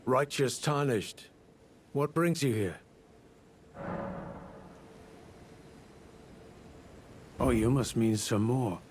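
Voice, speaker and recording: An actor playing a courteous, world-weary old knight turned scholar in a video game, speaking in formal, measured, archaic phrasing. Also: male voice, medium pitch, noisy background, close to an everyday voice. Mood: genial, formal. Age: older. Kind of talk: video game character dialogue.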